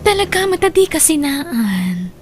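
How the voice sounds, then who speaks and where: A woman's voice: sits high, big pitch swings, unhurried, slight background noise. A woman acting a jealous, conniving young girlfriend in an audio drama, snide and scornful.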